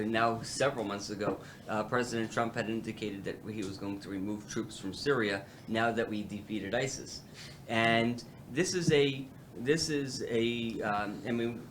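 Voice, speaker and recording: A man's voice: on the low side, fairly bright tone. Guy running a policy meeting, lots of uh and um, bit of hiss behind. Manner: hesitant, many fillers and restarts. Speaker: institute president hosting a policy meeting. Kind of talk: halting roundtable remarks.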